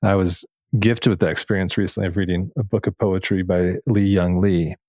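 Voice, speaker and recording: A man's voice: deep voice, flat delivery, a little fast, darkish tone, dry recording. A man hosting a reflective conversational interview in an earnest, affirming manner. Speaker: podcast host.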